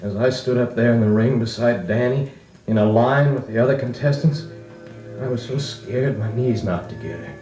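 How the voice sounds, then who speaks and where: A man's voice: medium pitch, normal speed, dark tone, natural voice. An actor playing a grown American man wistfully recalling his farm boyhood, narrating a 1940s radio drama sincerely. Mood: nostalgic. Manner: reflective, sincere storytelling. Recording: dull, echoey 1940s record with music.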